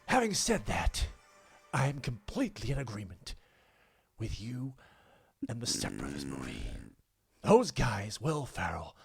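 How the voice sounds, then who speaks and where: A man's voice: lowish voice, wildly swooping pitch, a little slow, gravelly, slightly rough, no room sound, faint music behind, full character voice. A man doing a comic impression of a drawling older American film star, reading a letter in a strained, theatrical voice. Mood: emphatic, theatrical.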